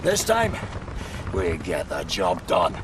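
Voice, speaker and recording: An actor playing a gruff, commanding army officer with a Scottish accent in a video game, blunt and barking. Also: male voice, sits low, wildly swooping pitch, slow, background noise, heavily acted. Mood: rallying, fierce. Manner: barking, blunt military briefing. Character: gruff, commanding, foul-mouthed male army officer rallying troops. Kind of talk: video game cutscene acting. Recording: loud music and battle sounds behind.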